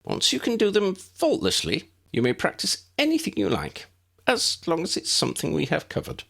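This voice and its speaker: A man voicing a kindly, excitable little old wizard professor with a genteel, precise, avuncular manner. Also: sits high, normal speed, gravelly, voice pushed up, exaggerated voice. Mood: instructive.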